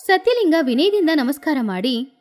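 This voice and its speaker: A woman narrating a scripted moral story with expressive, animated delivery. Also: sits high, moderate pace.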